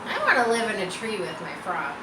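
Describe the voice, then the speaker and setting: A woman voicing an excitable, mischievous young farm boy in a children's storybook, eager and boastful. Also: mid-range voice, brisk, reverberant, heavy background noise. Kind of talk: children's storybook character reading.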